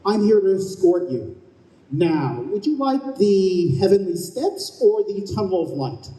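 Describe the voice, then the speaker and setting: An actor in a live radio play voicing a breezy, businesslike escort of souls, matter-of-fact and officious. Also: male voice, high-pitched, big pitch swings, unhurried, dark tone, roomy sound, low-quality recording. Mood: breezy, reassuring. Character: chipper, businesslike male heavenly escort for souls. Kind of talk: live old-time radio play acting. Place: live stage at a convention.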